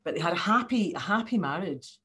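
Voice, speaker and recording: Older woman from Scotland, low voice, earnest academic speaking on an online panel. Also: expressive, brisk, pushed and bright. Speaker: university professor, panel speaker. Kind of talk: informal speech at an online launch. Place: living room at home, indoors. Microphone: video call.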